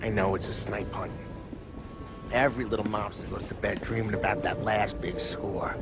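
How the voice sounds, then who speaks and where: An actor playing a streetwise, blunt Prohibition-era mobster who plans a heist, in a game cutscene. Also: male voice, on the low side, fairly even intonation, moderate pace, over loud music, natural voice. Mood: scheming. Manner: streetwise, blunt tough-guy talk.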